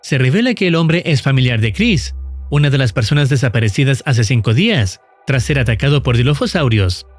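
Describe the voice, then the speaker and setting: A man giving scripted, explanatory narration in a measured, formal storytelling manner. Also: mid-range voice, expressive, soft background music. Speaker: narrator of a horror series recap.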